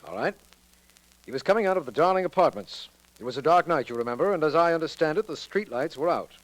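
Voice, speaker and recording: An actor playing a busy, matter-of-fact district attorney in a 1940s radio drama. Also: male voice, a little high, animated, fast, close to an everyday voice, low-quality recording. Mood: urgent, matter-of-fact.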